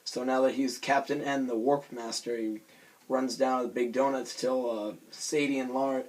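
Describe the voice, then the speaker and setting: Young man, hoarse and raspy, casual vlogger, self-conscious and rambling, mid pitch. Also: fairly even intonation, moderate pace, darkish tone, poor audio. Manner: self-conscious, rambling with many fillers and restarts. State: hoarse from screaming. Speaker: vlogger reviewing a cartoon episode.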